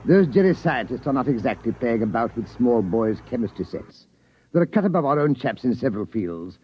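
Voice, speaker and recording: A muffled, faintly noisy old radio recording of a man playing a blustery British colonel. He is pompous and sardonic, with lively swoops in pitch, in 1950s radio drama style. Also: fairly quick, natural voice. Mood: dismissive. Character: blustery, skeptical British colonel, older superior officer.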